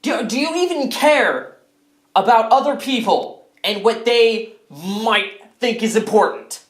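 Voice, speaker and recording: A man's voice: a little high, animated, moderate pace. Actor as whiny, melodramatic man in echoey room. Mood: indignant. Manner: exasperated, mock-earnest, bursts into shouting. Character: self-centred, whiny, melodramatic man obsessed with his hat. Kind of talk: comedy skit acting. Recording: roomy, echoing indoor sound.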